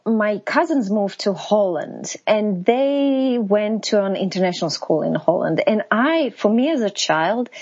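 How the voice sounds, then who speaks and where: A woman's voice: mid-range voice, a little mellow, muffled recording. A middle-aged woman from Croatia, speaking earnestly as an interview guest with frequent restarts. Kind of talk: interview conversation.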